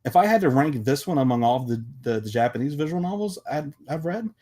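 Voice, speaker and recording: A man's voice: fairly high pitch, lively intonation, fast, dark tone. A man talking casually on a podcast as a panelist, emphatic and often restarting his sentences as he makes his point. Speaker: podcast panelist and visual novel developer.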